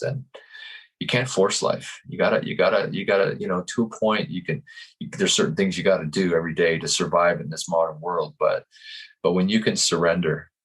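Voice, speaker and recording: A man in his fifties, a life coach, giving calm and earnest interview answers. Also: deep voice, husky. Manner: calm, earnest, speaks slowly in thought.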